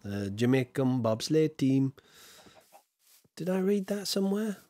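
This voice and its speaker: Man, 40s, streamer, casual chat, tired, slow, animated pitch, dry room. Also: no room sound. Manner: jokey, mimics accents in short bursts, counts under his breath. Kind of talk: casual live-stream chat while building.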